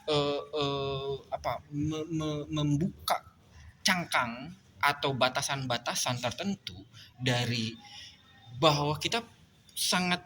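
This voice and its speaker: Halting, thoughtful man on a panel. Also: a little high, pushed and bright, slight background noise. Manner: halting, many filler sounds, building an argument. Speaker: mime discussion panelist. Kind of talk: panel discussion.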